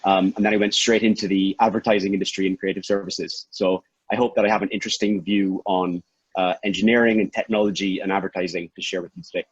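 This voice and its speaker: A man from Barbados, a startup founder, introducing himself quickly on a video call with frequent "um"s. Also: low-pitched, little pitch movement, husky, dry recording, lo-fi sound. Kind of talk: self-introduction.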